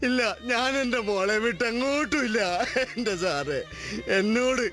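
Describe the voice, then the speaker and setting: Weeping bereaved father, high-pitched, piercing, broken pleading, radio play. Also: lively intonation, moderate pace, fairly bright tone, soft background music. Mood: despairing. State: crying. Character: grief-stricken father mourning his daughter, distraught and vengeful. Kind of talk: radio drama acting.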